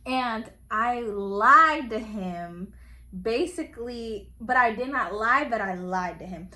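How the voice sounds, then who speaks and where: A woman's voice: a little high, slow. A young woman chatting casually, telling a story with lots of "like" and "literally". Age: young adult. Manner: animated storyteller, acts out quotes, says literally and like a lot. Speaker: co-host of couples channel.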